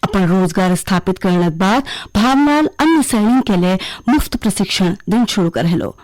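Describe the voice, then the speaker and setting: A woman with a Kumaoni accent reads a scripted regional radio news bulletin in a formal, measured way. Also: mid-range voice, lively intonation, a little mellow, dry recording, poor audio. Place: radio studio.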